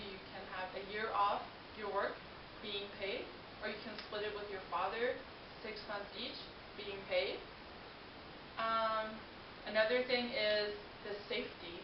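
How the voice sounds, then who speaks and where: A young adult woman from Denmark, a native Danish speaker, giving a prepared informative presentation to an audience. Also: medium pitch. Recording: distant mic, roomy echo, muffled, hissy noise.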